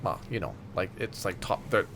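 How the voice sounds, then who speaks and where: A man's voice: sits low, big pitch swings, moderate pace, vocal fry, fairly bright tone, a little hiss or hum. A man chatting casually as a podcast co-host, rambling and enthusiastic, with plenty of swearing. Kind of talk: casual chat with friends.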